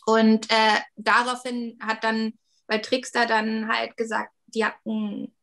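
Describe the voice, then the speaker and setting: Chatty German-speaking woman, higher voice. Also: monotone, slow, gravelly, fairly bright tone. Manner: chatty, many fillers and hedges. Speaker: interview guest, 3D artist. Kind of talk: casual interview talk.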